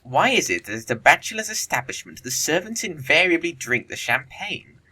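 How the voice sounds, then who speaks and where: An actor playing a flippant, witty young upper-class English bachelor in an audio play, with arch, lofty, affected phrasing. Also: male voice, a little high, sing-song, moderate pace, bright, forceful tone, husky, exaggerated voice. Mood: breezy, self-satisfied. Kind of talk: audio play acting of Victorian comedy of manners.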